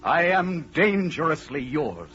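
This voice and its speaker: An actor playing the spirit of romantic adventure, narrating a 1930s radio drama in a grand, declamatory manner over music on a muffled old recording. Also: male voice, fairly high pitch, very animated, unhurried, fairly bright tone. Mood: grand, rousing.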